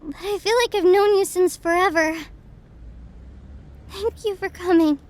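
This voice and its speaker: A very high, girlish voice that takes its time with every phrase. A woman is acting out an anxious young woman in an audio roleplay, a devoted best friend who doubts herself. Her delivery is soft, halting and emotionally exposed. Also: steady tone. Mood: tender, caring. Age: young adult.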